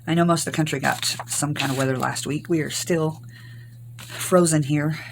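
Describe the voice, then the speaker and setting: An older woman from Arkansas, hoarse from recent illness, chatting through a rambling crafting tutorial. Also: low voice, fairly even intonation, moderate pace, noisy background. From Arkansas, US South. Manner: rambling, homey, many ums. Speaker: craft channel host.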